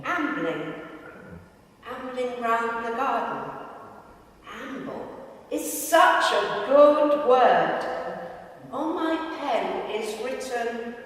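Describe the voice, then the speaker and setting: A woman reading poems and short prose aloud to an audience in a deliberate, phrase-by-phrase way. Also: fairly high pitch. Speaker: reader at a live literary event. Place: large indoor gym hall at a live reading. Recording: echoey hall, distant microphone.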